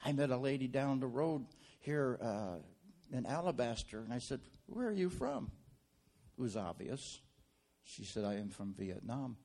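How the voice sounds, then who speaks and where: A man preaching in a church at a middle pitch. His stories are quiet and conversational, and his prayers grow loud and fervent. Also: sing-song, measured pace, vocal fry. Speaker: guest preacher. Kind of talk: sermon.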